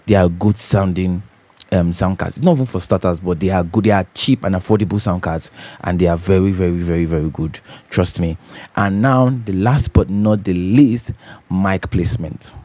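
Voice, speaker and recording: A man with a deep, bassy voice hosting a home recording tutorial, talking casually and repeating his key points as he checks in with viewers. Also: normal speed, dark tone. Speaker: host of a home recording channel. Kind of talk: casual tutorial talk to camera. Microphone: studio condenser microphone.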